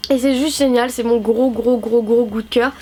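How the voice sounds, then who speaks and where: High-voiced young woman with a stuffy nose. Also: fairly even intonation, normal speed, dark tone, breathy edge. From France. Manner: chatty, many fillers and repeats. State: nasal from a cold. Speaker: craft YouTuber. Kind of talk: casual product review vlog.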